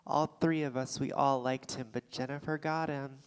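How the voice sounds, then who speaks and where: A woman's voice: very deep voice, fairly even intonation, moderate pace, darkish tone, clear, resonant tone. Rattled, defensive woman in echoing theatre. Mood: wistful, sad. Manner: indignant, sarcastic, stammering when rattled. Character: Lindsay, defensive, rattled woman having a secret affair. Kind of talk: live staged play reading. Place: theatre stage before a live audience. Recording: live theatre stage, long hall reverb.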